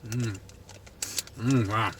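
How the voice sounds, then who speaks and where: A man's voice: lowish voice, steady tone, very slow. A man with a Boston accent reviewing food in casual, rambling chat to the camera, thinking aloud as he goes. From New England, United States. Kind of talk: casual chat to camera while taste-testing. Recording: noticeable background noise.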